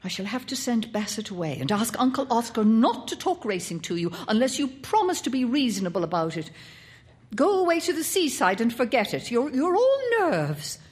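A woman's voice: fairly high pitch, expressive, a little fast, few pauses, a little mellow, close to an everyday voice. A woman voicing an anxious, domineering and weary mother in a story, coaxing and admonishing in a fretful way.

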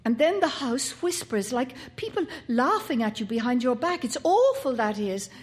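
A woman's voice: sits high, very animated, normal speed, pitched above the performer's own voice. A woman voicing an anxious, intense young boy who stammers and pleads.

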